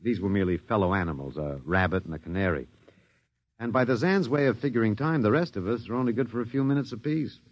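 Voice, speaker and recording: An actor playing a wry, detached, philosophical American academic, musing and hesitant, in a 1950s radio drama. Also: male voice, on the low side, expressive, quick pace, no echo, lightly acted. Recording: dull vintage 1950s radio recording.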